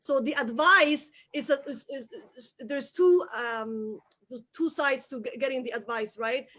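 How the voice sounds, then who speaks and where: Lady, high voice, punchy, says things twice to drive them home. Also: a little slow, pushed and bright. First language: Somali. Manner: emphatic, repeats key phrases for emphasis. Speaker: guest, business owner. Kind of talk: motivational interview talk.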